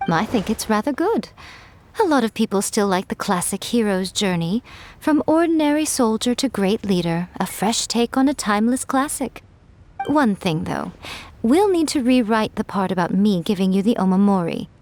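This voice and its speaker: A woman voices a self-assured fox shrine maiden from a video game at a middle pitch. She speaks smoothly and with composure, with a teasing, playful edge to her words. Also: expressive, normal speed, darkish tone, soft background music, close to an everyday voice. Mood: composed, approving.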